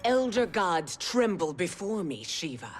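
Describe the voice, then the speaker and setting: A woman's voice: lowish voice, big pitch swings, over loud music. A woman playing a ruthless, scheming warrior empress in a video game cutscene, regal and haughty in manner. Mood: imperious. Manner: commanding, haughty, deliberate.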